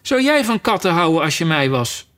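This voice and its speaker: A man voicing a touchy little male mouse with a shrill, excited voice. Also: moderate pace. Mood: indignant. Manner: indignant, trembling.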